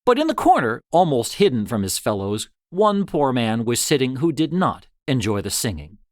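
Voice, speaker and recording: A man reading an old tale as scripted narration, in a dramatic, expressive storytelling manner. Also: mid-range voice, moderate pace, dry recording, studio quality. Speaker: story reader.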